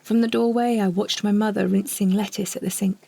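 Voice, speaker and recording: Soft-grained, husky woman narrator. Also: mid-range voice, fairly even intonation, brisk. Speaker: solo performer narrating a story. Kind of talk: scripted first-person literary narration.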